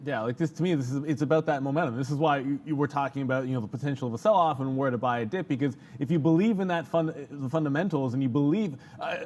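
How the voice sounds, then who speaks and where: A man working as an analyst on a live trading show, explaining the market off the cuff in long, winding sentences. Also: mid-range voice. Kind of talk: unscripted analytical market commentary.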